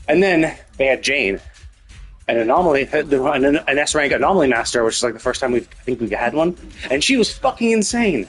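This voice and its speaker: A man with a husky voice giving casual, rambling gaming commentary as a live streamer, swearing freely. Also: a little high.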